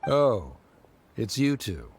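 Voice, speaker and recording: A man voicing a middle-aged scholar and father in a video game, formal, measured and reserved, with an awkward pride. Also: mid-range voice, big pitch swings, slightly rough, full character voice.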